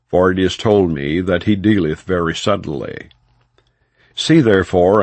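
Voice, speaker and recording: A man reading scripture as an audiobook narrator, in a solemn, measured and formal delivery without dramatization. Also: low-pitched, no room sound. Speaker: audiobook Bible reader.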